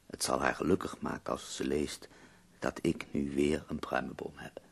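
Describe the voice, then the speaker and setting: An ailing poet for a radio drama, with a very deep, creaking voice. He sounds melancholy and weary yet dryly wry, with a husky, breathy edge that can sink toward a whisper. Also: flat delivery, moderate pace, exaggerated voice. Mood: wistful. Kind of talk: radio play acting.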